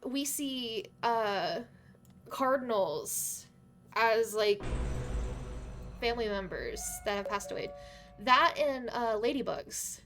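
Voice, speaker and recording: A woman's voice: a little high, animated, slow, pauses often, music in the background. A young woman streaming live while gaming, chatting in a rambling, giggly way, her voice a bit worn from losing it lately. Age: young adult. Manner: rambling and giggly, full of fillers. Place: her bedroom.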